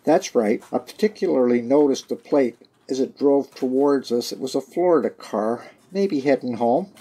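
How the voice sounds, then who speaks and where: A man playing a husky-voiced, aggrieved son. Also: medium pitch, lively intonation, moderate pace, dark tone. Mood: guarded, recounting. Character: aggrieved son, a scheming killer feigning grief. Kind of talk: radio mystery drama acting.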